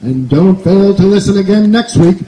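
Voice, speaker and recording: A man's voice: high-pitched, expressive, normal speed, crowd noise. A man announcing and narrating in a declamatory old-time radio serial style. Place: outdoor night stage, distant camera. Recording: echoey outdoor stage with music.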